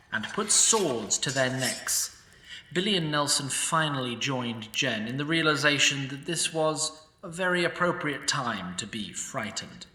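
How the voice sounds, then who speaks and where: A man's voice: medium pitch, bright, forceful tone, a little hiss or hum. A man narrating a comic fantasy story in a dry, wry storytelling manner. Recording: echoey room, from a distance.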